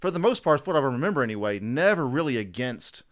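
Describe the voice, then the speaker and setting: Man, smooth clear voice, mid pitch, casual podcast talk, blunt, sweary. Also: big pitch swings, fast talker. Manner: blunt, profane. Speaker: podcast panelist. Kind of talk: casual discussion.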